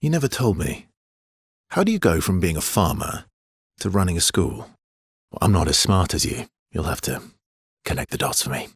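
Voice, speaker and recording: An actor playing a brooding, gently teasing, guilt-worn man in a video game, with a husky, gravelly low voice and halting, understated delivery. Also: wildly swooping pitch, dry recording, clean studio sound, heavily acted. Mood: playful. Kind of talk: naturalistic video game character dialogue.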